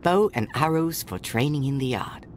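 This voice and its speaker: A man's voice: medium pitch, expressive, normal speed, slight background noise, natural voice. A man playing an earnest, caring young country boy and protective older brother, narrating reflectively in a video game.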